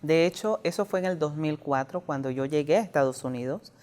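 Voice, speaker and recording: A woman from Nicaragua, a church ministry worker giving an earnest, measured testimony in an interview. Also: deep voice, a little mellow. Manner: earnest, measured, often says "obviamente".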